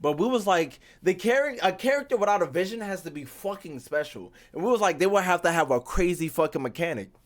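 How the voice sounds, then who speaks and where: A man's voice: high voice, lively intonation, fairly quick, hardly any pauses. A young man giving casual, rambling livestream gaming commentary, slangy and full of swearing. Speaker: game streamer.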